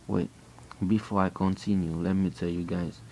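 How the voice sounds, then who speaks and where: A man from Haiti with a Haitian accent, telling a personal faith testimony in an earnest, halting way with frequent restarts. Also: low-pitched, level tone, mellow, slight background noise. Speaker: person giving a religious testimony.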